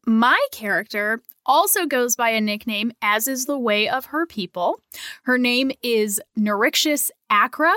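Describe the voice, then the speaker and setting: A woman's voice: on the high side, unhurried, pushed and bright, dry recording, studio quality. A woman chatting casually as a player on a tabletop game podcast. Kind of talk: casual chat.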